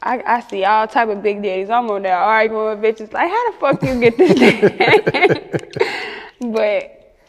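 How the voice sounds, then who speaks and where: A woman with a Chicago accent chatting casually and candidly in an interview as a rapper, slangy and quick to laugh. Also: fairly high pitch, in a large hall. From Chicago, United States.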